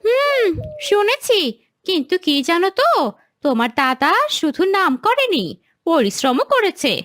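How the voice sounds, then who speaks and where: A woman acts out a principled cartoon wife with a very high, lively voice. She speaks plainly and admonishes her husband, with a dry close sound. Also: unhurried, dry recording, full character voice. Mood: admonishing. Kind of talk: animated moral story dialogue.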